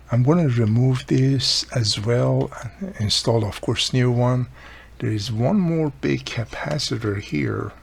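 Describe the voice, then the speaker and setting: Gravelly, husky man explaining repairs. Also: medium pitch. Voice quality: raspy, gravelly. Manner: explains step by step, thinking aloud. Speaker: repair video host. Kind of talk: casual hands-on tutorial narration.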